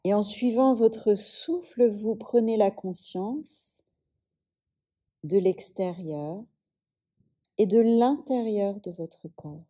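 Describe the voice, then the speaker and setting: A woman yoga teacher leading a guided relaxation in a soothing, unhurried way, leaving long pauses between phrases. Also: mid-range voice, warm tone.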